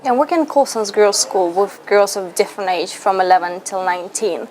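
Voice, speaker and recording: A woman's voice: mid-range voice, brisk, darkish tone, a little hiss or hum, rough recording. A woman in her twenties from Lithuania whose first language is Russian, a university student earnestly answering interview questions with frequent "you know" fillers. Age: 20s.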